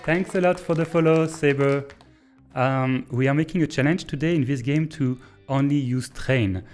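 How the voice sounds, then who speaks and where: A man with a French accent, a native French speaker, thinking aloud in a hesitant, pause-filled way as he streams and comments on a train-building game. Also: mid-range voice, darkish tone, faint music behind. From Belgium. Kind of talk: casual live-stream gameplay commentary.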